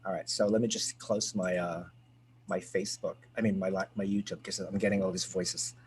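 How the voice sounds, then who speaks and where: A man hosting a casual group video call, chatty and excitable, with lots of fillers and restarts. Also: low-pitched, a little fast, slight background noise. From United States. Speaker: host.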